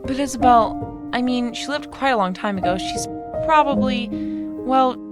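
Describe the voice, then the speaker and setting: A woman's voice: fairly high pitch, unhurried, close to an everyday voice. A woman playing a sympathetic, sensible schoolgirl friend in an audio drama with music behind her. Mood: concerned.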